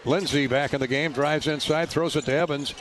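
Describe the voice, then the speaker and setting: A man's voice: on the high side, steady tone, fairly quick. A man doing live radio play-by-play of a basketball game in a folksy, colorful way. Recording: loud arena noise behind courtside radio call. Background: ball bounces and gym ambience.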